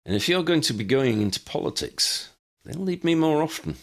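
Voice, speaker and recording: A man's voice: on the high side, very animated, moderate pace, pitched above the performer's own voice. A man voicing an easygoing, earnest young father who speaks plainly in an audiobook. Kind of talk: audiobook character reading.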